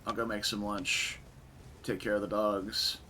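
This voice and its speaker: A young man with a raspy voice from a concert the night before, casually commentating a game stream and chatting with viewers. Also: on the low side, unhurried, slight background noise. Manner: relaxed, chatty, answers chat.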